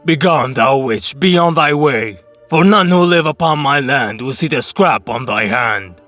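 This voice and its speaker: A man's voice: medium pitch, expressive, unhurried, fairly bright tone, faint music behind. A man playing a proud, defiant king who declaims in archaic rhyme. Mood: defiant, commanding. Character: proud defiant medieval king in rhyming fairy tale.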